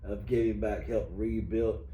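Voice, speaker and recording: A hoarse middle-aged man hosting a union video chat, folksy and rambling with many fillers. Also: lowish voice, steady tone, a little slow, warm tone, highs cut off. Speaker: union local president, host. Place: union hall. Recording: echoey room, faint noise.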